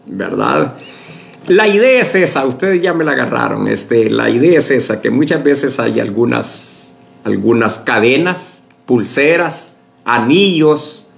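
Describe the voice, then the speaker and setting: A pastor preaching a sermon to his congregation, speaking slowly with many fillers and restarts, often asking for agreement. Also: medium pitch, creaky. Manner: addresses the congregation as brothers, asks for agreement.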